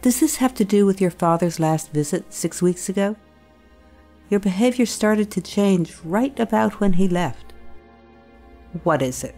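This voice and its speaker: A woman acting as a concerned, probing school staff member in an audio drama, with a measured, coaxing manner. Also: medium pitch, animated, dark tone, soft background music.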